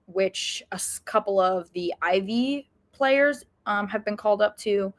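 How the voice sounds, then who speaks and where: Woman co-host on a sports pod, casual and articulate, medium pitch voice. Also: unhurried. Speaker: podcast co-host. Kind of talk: casual sports podcast chat.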